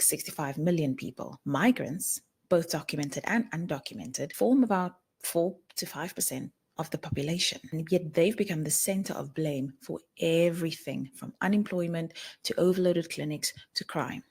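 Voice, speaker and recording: A woman narrates a scripted explainer video in a measured way, raising questions for the viewer. Her voice sits very low and has a husky edge. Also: a little mellow. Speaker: video presenter.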